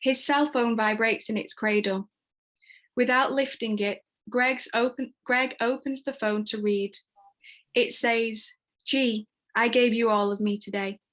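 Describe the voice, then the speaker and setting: A woman's voice: a little high, fairly even intonation, a little slow, a bit bright. A woman giving scripted narration, reading out screenplay stage directions. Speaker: stage-direction reader and host.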